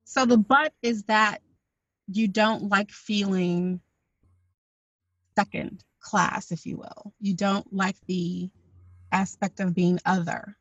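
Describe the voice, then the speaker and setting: A woman's voice: mid-range voice, steady tone, slow pace, long pauses, fairly bright tone, no echo. Curious woman host, dull dry audio. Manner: curious, probing questions. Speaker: interview host. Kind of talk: conversational interview.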